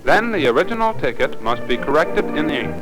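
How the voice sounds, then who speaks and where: An American man reading formal, deliberate, instructive narration for a 1950s training film. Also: on the high side, big pitch swings, low-quality recording. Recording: 1950s film soundtrack, music behind.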